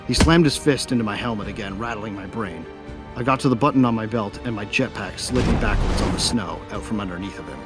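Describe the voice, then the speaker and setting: An actor playing a sardonic, hot-tempered young bounty hunter who narrates an audio drama in a wry, informal way. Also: male voice, lowish voice, close to an everyday voice. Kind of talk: first-person scripted narration of an audio drama. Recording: music behind the voice.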